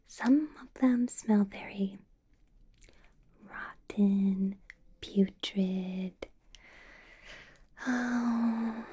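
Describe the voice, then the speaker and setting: A woman playing a doting, possessive female vampire boss in a whispered ASMR roleplay, breathy, coaxing and intimate. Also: mid-range voice, mellow, full character voice. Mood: coaxing, businesslike. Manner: slow, coaxing, intimate whisper with murmured hums.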